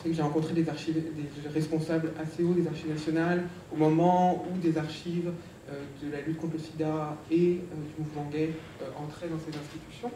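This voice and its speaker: A man talks on a panel in a large lecture hall, stopping and restarting often and filling pauses as he thinks. His voice sits a little high and comes from some distance. The hall adds heavy echo and a steady background hiss. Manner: hesitant, many fillers and restarts. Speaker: panel speaker, biographer. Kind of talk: conference panel talk. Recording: echoey hall, speaker far from mic.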